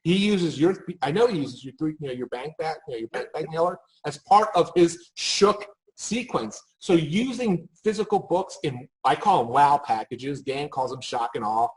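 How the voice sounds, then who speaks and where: High-pitched man, emphatic and anecdotal. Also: moderate pace, low-quality recording. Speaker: marketing expert on a panel. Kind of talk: unscripted panel conversation.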